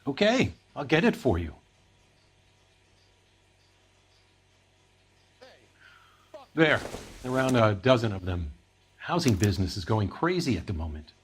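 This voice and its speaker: A man's voice: fairly low pitch, very animated, normal speed, breathy edge. A man playing a defensive, harried heater company boss in a video game, talking in a matter-of-fact way. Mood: resigned, cooperative. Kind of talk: video game dramatic acting.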